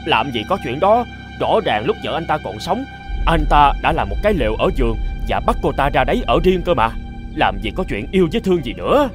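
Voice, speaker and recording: Lively, mocking gossiping villager. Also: male voice, fairly high pitch, fairly quick, faint music behind. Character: gossiping, mocking male villagers in a folk tale. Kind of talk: Vietnamese cartoon voice acting.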